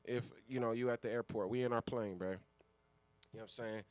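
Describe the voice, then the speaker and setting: An American man co-hosting a radio show with casual, profane, slangy banter and an animated street manner. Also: mid-range voice, brisk, dry recording. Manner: animated street-slang talk, often asks "you know what I'm saying".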